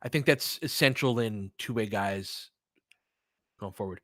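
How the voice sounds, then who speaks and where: A man's voice: lowish voice, measured pace, a bit bright, dry recording, clean studio sound. A man hosting a live sports stream, chatting casually and informally, thinking aloud as he gives his commentary. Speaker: sports podcast host. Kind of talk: casual live basketball commentary, replying to chat.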